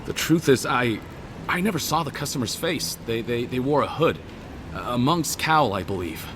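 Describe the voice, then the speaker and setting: A man's voice: mid-range voice, animated, normal speed, background noise, close to an everyday voice. A man voicing an anxious, hesitant merchant in a video game, stammering and fearful. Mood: nervous, pleading. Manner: nervous, hesitant.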